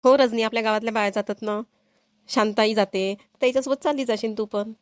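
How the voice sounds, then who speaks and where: A woman's voice: a little high, steady tone, fast talker, no room sound, clean studio sound, natural voice. A woman voicing an older, traditional, instructive village mother-in-law in a cartoon, with a rural Vidarbha Marathi accent. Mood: instructing. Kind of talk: amateur cartoon comedy-drama dubbing.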